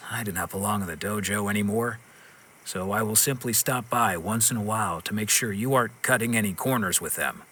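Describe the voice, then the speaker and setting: Stern low-voiced wandering swordsman. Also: pushed and bright, a little hiss or hum. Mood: dry, resolved. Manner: measured, firm, frank. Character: stern but forgiving wandering swordsman, former senior disciple. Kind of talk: dramatic video game acting.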